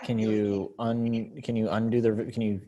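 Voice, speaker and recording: A man directing a readers theater rehearsal over a video call, talking casually in halting, hesitant phrases. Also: fairly low pitch, flat delivery, dull audio, crowd noise.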